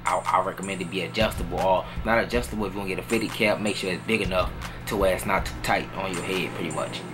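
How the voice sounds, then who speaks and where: A relaxed young man, speaking in a fairly low voice. He talks loosely and casually, circling back with "you know what I'm saying," while clear music plays under him. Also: fast. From New Orleans, Louisiana. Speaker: vlogger answering viewer questions. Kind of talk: casual Q&A chat.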